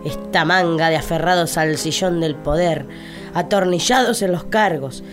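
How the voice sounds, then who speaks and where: A woman acts out a pompous Argentine lady politician in a satirical radio sketch. Her voice sits a little low as she declaims with boastful scorn. Music runs clearly behind her. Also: normal speed, natural voice. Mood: scornful. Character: haughty, boastful, scornful opposition politician, a doting mother.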